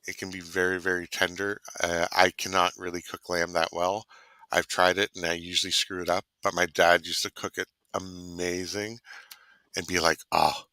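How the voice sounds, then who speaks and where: Canadian guy, deep but bright voice, dry close sound, chatty and rambly. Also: little pitch movement, normal speed, no echo. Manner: rambling, trails off and restarts, savoring words. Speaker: co-host. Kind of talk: casual chat.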